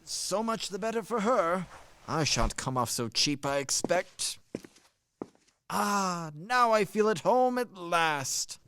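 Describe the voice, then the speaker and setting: A man's voice: high-pitched, expressive, takes it slowly, fairly bright tone, slight background noise, exaggerated voice. A man acting a blustering, genial old army major in a radio play, with a hearty, theatrical manner. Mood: bemused, hearty.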